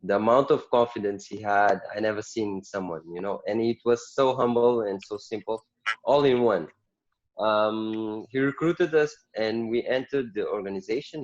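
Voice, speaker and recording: Brief: lower male guest from Portugal, candid storyteller, muffled lo-fi line. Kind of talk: casual interview storytelling. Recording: dull lo-fi call audio.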